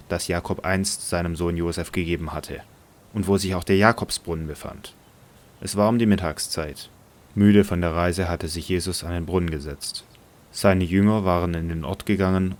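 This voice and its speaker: A man narrating a scripted Bible audio drama in a measured storytelling manner. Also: sits low.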